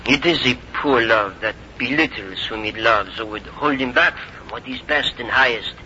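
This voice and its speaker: Intense, ardent young French marquis. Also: male voice, mid-range voice, moderate pace, pushed and bright. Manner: formal, earnest. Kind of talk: 1950s radio drama acting. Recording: muffled, hissy 1950s radio recording.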